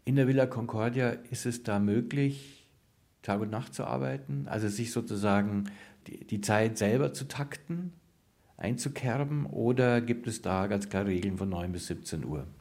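A man's voice: fairly low pitch, moderate pace, darkish tone, dry recording. A man presenting and interviewing for radio in scripted narration and questions.

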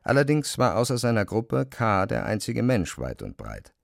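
A man reads scripted literary narration with careful, measured phrasing. His voice is fairly low with a gravelly creak, and the recording is close and very dry. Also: huge pitch swings, dry recording.

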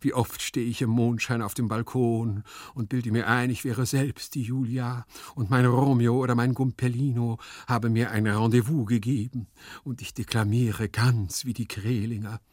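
A man's voice: mid-range voice, little pitch movement, normal speed, darkish tone, no room sound, clean studio sound, voice pushed up, natural voice. A man voicing a corpulent, lovesick storybook nobleman who sighs and declaims with theatrical sentimentality. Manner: pathetic declamation, sighing, swooning.

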